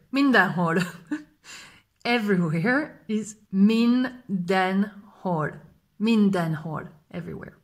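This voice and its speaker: A woman in her thirties teaching a language lesson to camera, repeating each phrase slowly and clearly, filmed close up indoors. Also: medium pitch. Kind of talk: slow vocabulary lesson. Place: indoors in front of a plain gray wall. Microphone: close camera framing, face fills frame.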